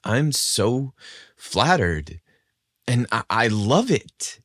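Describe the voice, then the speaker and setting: Man voicing an excitable, romantic young guy. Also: medium pitch, drawn-out words, no room sound, clean studio sound, voice pushed up, exaggerated voice. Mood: touched, excited.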